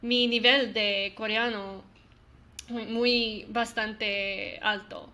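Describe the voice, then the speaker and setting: A woman's voice: a little high, fairly even intonation, drawn-out words, pushed and bright. A woman hosting a casual chat over a video call, talking hesitantly and searching for words as she goes. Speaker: host.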